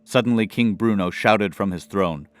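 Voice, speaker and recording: A man's voice: fairly low pitch, moderate pace. A man narrating a scripted fantasy audio drama as its storyteller.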